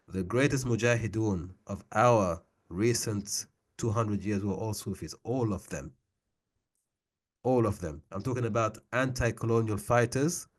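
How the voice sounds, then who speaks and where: Emphatic man with a lowish voice. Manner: emphatic, repeats phrases for stress. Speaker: discussion leader and teacher. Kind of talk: book club discussion, informal lecturing.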